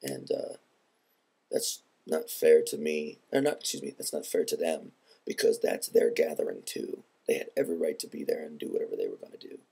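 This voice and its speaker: A man speaking in an earnest, measured and reflective way in a personal vlog, his voice congested from a head cold. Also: very deep voice, very animated, vocal fry, mellow, breathy edge. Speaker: video blogger.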